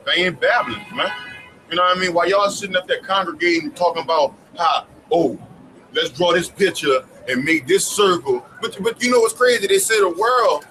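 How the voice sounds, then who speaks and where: A man in casual group talk, slangy and animated with bits of laughter. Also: high voice, moderate pace, faint music behind, low-quality recording.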